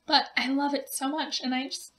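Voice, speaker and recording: A young woman narrating her building game in a casual, rambling way, often laughing, with her voice going hoarse. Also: high-pitched, normal speed, a bit bright. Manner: rambling, giggly, lots of fillers. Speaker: gaming video creator.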